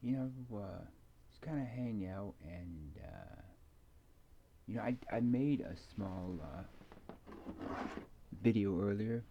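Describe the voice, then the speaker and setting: Low, gravelly man rambling hesitantly. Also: big pitch swings. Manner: hesitant, meandering, halting delivery with many fillers. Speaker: ASMR video maker. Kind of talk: unscripted casual ASMR rambling. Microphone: microphone moved around beside a keyboard. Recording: muffled home recording with background noise.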